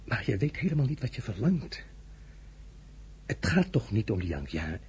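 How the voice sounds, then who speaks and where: An actor playing an imperious, ambitious French ruler in a Dutch radio play, speaking with a Dutch accent, commanding and then softly condescending. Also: male voice, on the low side, sing-song, moderate pace, slightly rough, exaggerated voice. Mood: intimate, brooding. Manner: commanding, shifting to soft intimate condescension.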